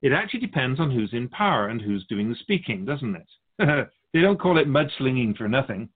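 A man playing a wise, wry otherworldly keeper of souls in a scripted dialogue, measured and teasing, fond of rhetorical questions. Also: mid-range voice, big pitch swings, phone-quality sound. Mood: wry, knowing.

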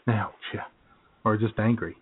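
A man co-hosting a casual internet radio show, joking in deadpan asides and laughing at his own lines. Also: lowish voice, unhurried, slightly rough. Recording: tinny phone-line internet radio audio.

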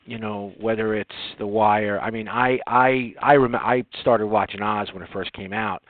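A man hosting an interview in a casual, conversational way, with wordy, halting phrasing. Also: fairly low pitch, fairly even intonation, no echo. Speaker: interview host. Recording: tinny phone-like audio, very dry.

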